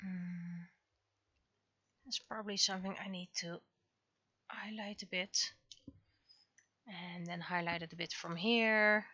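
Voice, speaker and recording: Bright-voiced woman on a casual art stream. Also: on the low side, fairly even intonation, slow pace, pauses often, slightly rough, dry recording, muffled recording. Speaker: livestreaming webcomic artist. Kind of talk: casual livestream commentary while drawing.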